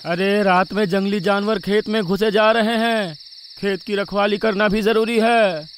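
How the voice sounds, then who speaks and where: Village farmer husband for horror cartoon, male actor: high-pitched, worried but argumentative, light background noise. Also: fairly even intonation, unhurried. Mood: stubborn, irritated. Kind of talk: dramatised Hindi horror cartoon story.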